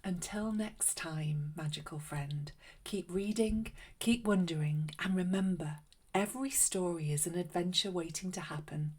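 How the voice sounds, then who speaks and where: A woman narrating a read-aloud story for children in a gentle, unhurried, expressive way. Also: fairly low pitch, breathy edge. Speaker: children's story reader and host.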